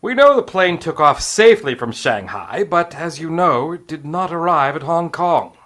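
An actor playing a cagey, formal police commissioner in an old radio crime drama, with measured, clipped delivery. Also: male voice, on the high side, expressive, fairly bright tone, a little hiss or hum. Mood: grave, confiding. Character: urbane, cagey British colonial police commissioner.

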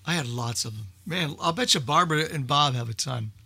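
A man with a very bright, cutting voice, pitched a little high, talks casually among friends as a radio host. He is chatty and folksy, and the sound is dry and close. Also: animated, a little fast, creaky, breathy edge, no echo. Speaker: old-time radio show host. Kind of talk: casual group chat.

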